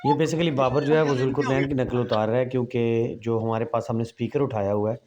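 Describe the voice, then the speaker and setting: A man narrates a vlog voice-over in an even, mid-range voice. He explains things calmly and drifts into memories. The chatter of a crowd carries behind him. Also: mid-range voice, fairly quick, muffled recording. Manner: calm, explanatory, reminiscing.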